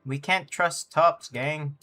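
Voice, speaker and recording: A man's voice: fairly high pitch, slow pace, bright, forceful tone, soft background music. A man livestreaming a game with casual, joking commentary full of slang, sometimes reading dialogue out. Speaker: streamer.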